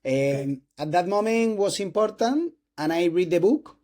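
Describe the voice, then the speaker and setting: Spanish-accented young man, higher voice. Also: lively intonation, darkish tone. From Spain. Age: 20s. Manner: halting non-native English with restarts and throat clearing. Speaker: host interviewing a doctor. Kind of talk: casual interview talk.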